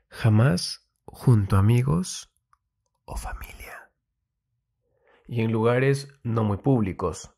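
A man giving a soft, intimate ASMR monologue in a breathy voice that often drops to a whisper, soothing and confiding, close to the mic. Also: on the low side. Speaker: ASMR host giving love advice. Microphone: very close ASMR microphone.

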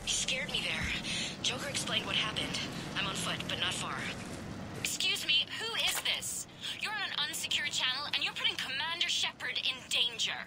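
A young female analyst in a video game, eager and easily flustered. Her voice is fairly high and very animated, with a hesitant, earnest delivery. Clear action noise sits behind her. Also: moderate pace. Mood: protective, indignant.

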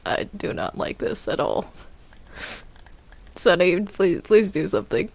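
An anxious male ink demon, played by a woman in a game roleplay, stammering and reluctant. The voice is low for a woman and creaky, swinging widely in pitch, with music behind it. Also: big pitch swings, a little slow, heavily acted. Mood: anxious, protesting. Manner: nervous, stammering, hesitant. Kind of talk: improvised Minecraft roleplay.

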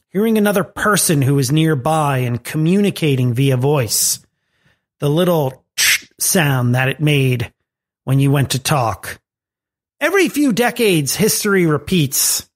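A man hosting a tech and marketing podcast, reading a scripted segment with lively rising and falling emphasis. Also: fairly high pitch, unhurried. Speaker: podcast host.

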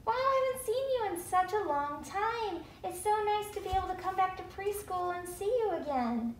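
A woman's voice: extremely high pitch, lively intonation, normal speed. A woman voicing an eager, cheerful young child puppet in a children's puppet show, exclaiming in a childlike way. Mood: happy, earnest. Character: excited, cheerful young child puppet going back to preschool. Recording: echoey room, faint background noise.

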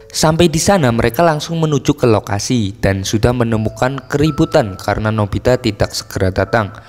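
An Indonesian man narrates a story from a script in a casual, colloquial way, slipping into teasing asides. His voice sits at an average pitch. It rings with long reverb, with music playing underneath. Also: a little mellow. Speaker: film recap narrator.

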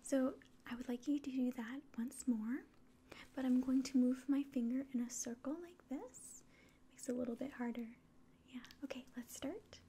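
A woman in her twenties whispering softly and breathily in a gentle medical roleplay, giving slow instructions up close. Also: sits high, husky. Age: 20s. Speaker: ASMR performer playing an alien doctor giving an exam. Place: small room indoors.

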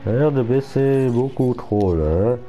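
A man's voice: medium pitch, expressive, normal speed, mellow, highs cut off, faint music behind. A man with a cold giving casual, muttered commentary over a game and swearing at setbacks. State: has a cold. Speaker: gaming channel host.

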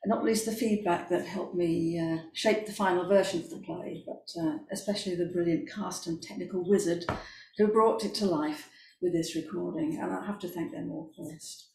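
A woman's voice: lowish voice, fairly even intonation, moderate pace, creaky, warm tone. An older British woman, a playwright, giving a hesitant prepared speech in a formal parlour. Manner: hesitant, unpractised. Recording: echoey room, faint noise.

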